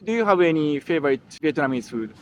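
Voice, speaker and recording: A man's voice: on the high side, expressive, quick pace, slight background noise, low-quality recording. A man interviewing tourists on a beach, asking short upbeat questions. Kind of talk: street interview. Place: beach outdoors.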